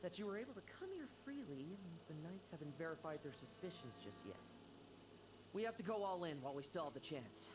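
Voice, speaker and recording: Casting: power-hungry schemer, swooping commanding delivery, noisy game audio. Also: male voice, a little high, sing-song, brisk. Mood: ruthless. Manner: commanding, clipped orders. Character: cold, ruthless male schemer chasing power. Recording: game audio with background noise.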